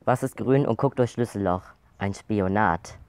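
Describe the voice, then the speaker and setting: A man's voice: lowish voice, normal speed, darkish tone, smooth. A man in his twenties chatting and telling jokes casually outdoors, blurting sudden vocal tics and swear words. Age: 20s. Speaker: video channel co-host. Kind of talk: casual joke-telling chat. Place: outdoor garden by a pool.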